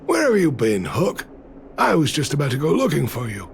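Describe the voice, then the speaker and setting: An actor playing a kindly, gentle father in a video game, caring and reassuring. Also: fairly high pitch, wildly swooping pitch, normal speed, creaky, slight background noise, full character voice. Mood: concerned. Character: kindly, gentle working-class father, adult man. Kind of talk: English video game voice acting.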